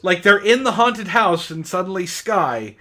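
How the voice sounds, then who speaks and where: A man's voice: high voice, expressive, measured pace, bright, forceful tone. A man reading bad fan fiction in mock-dramatic style, with exasperated, sarcastic asides. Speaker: reader on a comedy book club show.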